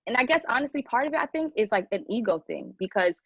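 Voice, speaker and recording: A woman's voice: on the high side, fast, husky, dry recording. Woman co-host, dull lo-fi sound. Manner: animated, acts out quoted dialogue. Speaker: podcast co-host. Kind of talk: casual group chat.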